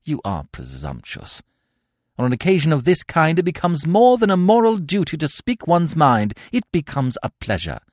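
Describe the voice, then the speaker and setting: A man voicing a sharp-tongued young country girl who speaks with falsely sweet, barbed politeness. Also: mid-range voice, huge pitch swings, normal speed, no room sound, clean studio sound, pitched above the performer's own voice. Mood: indignant.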